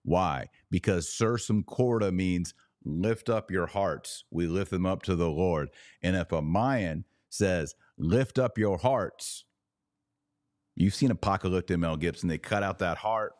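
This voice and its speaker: A man with a very low voice hosts a casual podcast. He talks in a sarcastic, animated way. The sound is dry and close, with no room in it. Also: dry recording. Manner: animated, sarcastic, jumps to high incredulous exclamations; slow deliberate Latin prayer recitation. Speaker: podcast host.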